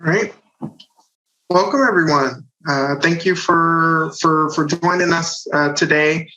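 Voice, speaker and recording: A man from Chicago facilitating an online panel discussion, halting, with many fillers and restarts, heard over a video call. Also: fairly high pitch, fairly even intonation, pushed and bright. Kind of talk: hesitant online panel hosting. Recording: dull lo-fi video call audio.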